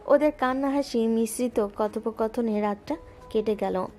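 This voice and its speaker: A woman narrating a romantic audio story in a smooth, even storytelling voice. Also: on the high side, moderate pace. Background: soft background music.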